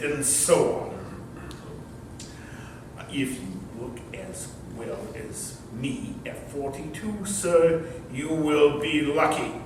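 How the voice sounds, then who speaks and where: Man acting a slow, pompous uncle, echoey stage. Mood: affronted, sardonic. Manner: pompous, formal, sardonic. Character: pompous, wordy bachelor uncle of forty-two, easily affronted. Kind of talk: staged readers theater comedy. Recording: echoey hall, far-off mic, clear rumbling noise.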